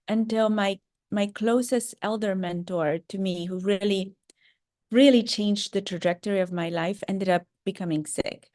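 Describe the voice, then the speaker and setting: A woman's voice: mid-range voice, a little mellow, no echo, clean studio sound. A woman from Romania with a slight Romanian accent, giving a career talk to students and speaking earnestly, with many ums and restarts. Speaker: startup founder and CEO.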